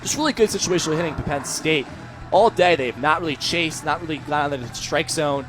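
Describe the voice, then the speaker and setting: A young American man serves as color analyst on a baseball radio call. His pitch is a little high for a man, and he breaks down plays in live commentary. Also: a little fast, fairly bright tone, faint music behind. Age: young adult.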